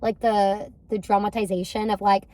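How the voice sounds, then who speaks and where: A woman's voice: mid-range voice, level tone, normal speed, a little mellow. A young woman co-hosting a casual movie review from a parked car, rambling and enthusiastic with lots of fillers.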